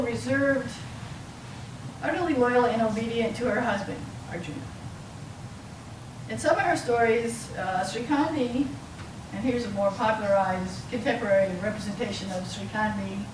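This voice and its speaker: A woman giving an explanatory academic lecture to an audience, with a halting delivery. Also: fairly high pitch. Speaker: university lecturer. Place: lecture room, mic some way off. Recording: roomy, distant mic, clearly noisy.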